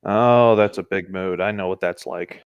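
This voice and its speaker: A man's voice: sits low, normal speed, clear, resonant tone, no echo, dull audio. A man reading a story aloud as co-host in a casual, conversational way, with dry asides.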